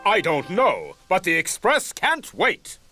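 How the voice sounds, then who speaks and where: An actor playing a big, bossy, impatient express engine in a children's cartoon. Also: male voice, high-pitched, wildly swooping pitch, slow, music in the background, exaggerated voice. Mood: impatient, commanding.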